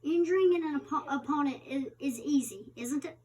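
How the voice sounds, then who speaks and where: A woman reading the interviewer's part of a magazine interview aloud, somewhat haltingly with stumbles. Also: high-pitched, a little mellow. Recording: distant microphone.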